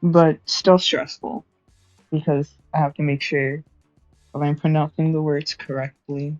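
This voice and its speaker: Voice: medium pitch, level tone, measured pace, dry recording, faint music behind. Young adult streamer, casual chat, self-correcting, laughs a lot, dry close sound, dull mic.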